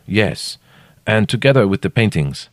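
A man voicing a gruff, practical guide, speaking carefully in an in an audiobook story. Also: on the low side, big pitch swings, no echo, clean studio sound. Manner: slow careful speech in a second language. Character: gruff French male local guide, careful, practical, authoritative.

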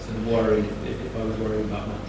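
A man reflecting haltingly as a panellist in a live discussion, repeating words as he thinks. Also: on the low side, monotone. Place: live festival panel in echoey room. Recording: very echoey, distant mic, background noise.

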